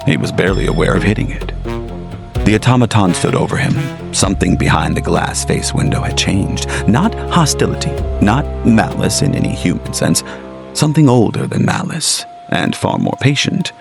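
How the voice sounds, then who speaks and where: A man reading scripted gothic horror as a storyteller, in a dramatic, deliberate literary manner with weighted phrases. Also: on the low side, very animated, creaky. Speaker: storyteller, narrator. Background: music under the narration.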